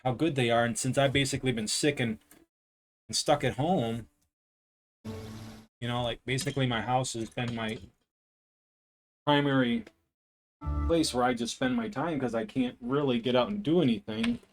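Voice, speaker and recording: A man speaks at a middling pitch with a somewhat deep tone. He streams from his desk at home and chats casually into a desk mic. He thinks aloud, drifting from one thought to the next without hurry. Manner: rambling, thinking aloud, unhurried. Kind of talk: casual live-stream chat. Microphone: desk microphone.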